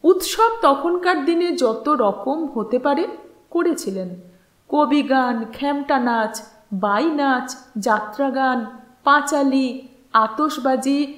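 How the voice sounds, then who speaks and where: Slow, high-pitched woman storyteller in echoey room. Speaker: audiobook narrator. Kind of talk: scripted dramatic storytelling narration of a literary novel. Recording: roomy, echoing voice recording.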